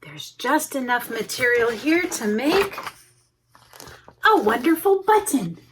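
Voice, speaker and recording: A woman's voice: a little high, big pitch swings, slow, noisy background. A woman voicing a thoughtful, resourceful old grandfather tailor in a children's storybook. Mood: pondering. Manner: musing.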